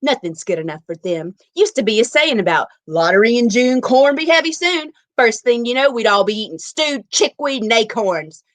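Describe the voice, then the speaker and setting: A woman's voice: on the high side, animated, moderate pace, hardly any pauses, no room sound, voice pushed up, natural voice. Storybook old man, played by a woman, grumbling, scornful and stubborn, dry close sound. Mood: scornful, petulant. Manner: petulant, stout grumbling.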